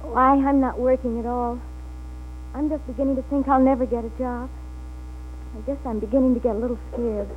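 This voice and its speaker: A woman acts an earnest, dreamy young hopeful in a 1940s radio play. Her voice sits high. The recording is narrow and tinny, with a clear hum. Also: fairly even intonation, a little fast, natural voice. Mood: downcast.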